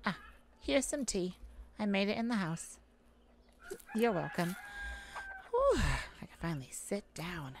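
A woman voicing a shy, gentle, easily flustered elf farmer in an ASMR roleplay, halting and polite with nervous little laughs. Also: mid-range voice, sing-song. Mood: relaxed, shy. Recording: clear background noise, farm chicken ambience. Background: farm ambience with chickens and rooster.

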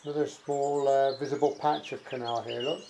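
A man's voice: mid-range voice, fairly even intonation, moderate pace, dark tone, a little hiss or hum. A man narrating a walk outdoors, chatty and admiring, with wry asides. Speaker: walking vlogger narrating. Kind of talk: casual walking commentary. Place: outdoors by a rural estuary and canal.

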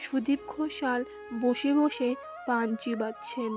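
A woman reading scene directions as scripted narration for an animated story. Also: on the high side, a little slow. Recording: music behind the voice. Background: music.

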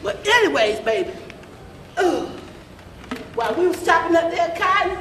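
Woman acting a high-pitched, rambling granny. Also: very animated, moderate pace, fairly bright tone, heavily acted. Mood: rambling, tired. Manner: folksy, rambling, calls listeners baby. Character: old Southern former field-hand grandmother, folksy, scolding, rambling. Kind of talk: amateur gospel stage play monologue, storytelling. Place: church sanctuary stage, mid-sized hall. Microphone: camera some metres away. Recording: roomy church hall, distant camera mic, audience noise.

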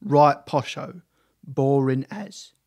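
A talkative, cowardly male street thug from an audio drama, acted by a man. His voice is medium in pitch. He is slangy and nervous, blurting things out in clipped bursts. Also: slow pace, a little mellow, natural voice. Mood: nervous, confessing.

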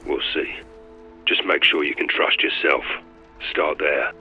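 An actor playing a stern special forces lieutenant in a video game, terse and deadpan with dry humour. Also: male voice, extremely low pitch, measured pace, highs cut off, soft background music. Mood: guarded. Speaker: military officer character. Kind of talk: video game radio dialogue.

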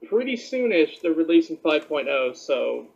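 A man's voice: on the high side, big pitch swings, moderate pace, gravelly, breathy edge, highs cut off. A man chatting casually as a co-host, relaying game news and reading bits aloud while he plays. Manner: plain, reads announcements aloud while gaming. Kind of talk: casual chat over a call.

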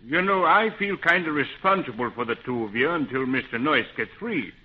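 An actor playing a good-natured, protective pilot in a 1930s radio serial, speaking in a folksy, hesitant manner. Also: male voice, mid-range voice, animated, brisk, husky. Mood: cautious, concerned. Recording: muffled, dull 1930s radio recording.

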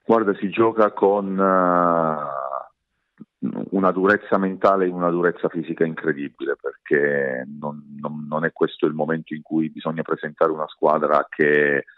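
A man giving measured, hesitant answers as a sports coach in a radio interview. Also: rumbling low, little pitch movement, dry recording, phone-quality sound. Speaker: basketball coach being interviewed.

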